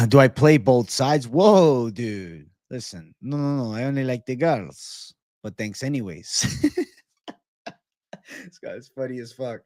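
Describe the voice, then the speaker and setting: A man's voice: medium pitch, lively intonation, takes it slowly, darkish tone, dry recording, studio quality. A man hosting a casual livestream, chatting and answering viewers in slangy, profane, bro-heavy banter. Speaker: livestream host.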